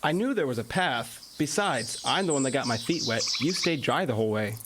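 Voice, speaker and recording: A man playing an easygoing high school boy in a radio play, talking casually with a slight hesitance. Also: animated, brisk, background noise, lightly acted. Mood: casual, defensive.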